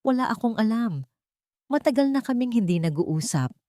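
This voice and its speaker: A woman's voice: on the high side, very animated, normal speed, mellow, no room sound, studio quality, voice pushed up. A woman with a Filipino accent voicing a protective, indignant loyal friend in a romantic story, blunt and scolding. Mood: irritated, guarded.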